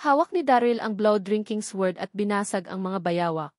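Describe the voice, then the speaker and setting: A woman reads a novel aloud as a scripted narrator, formally and in measured, separate phrases. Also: medium pitch, expressive, darkish tone, no echo, studio quality. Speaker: audiobook narrator.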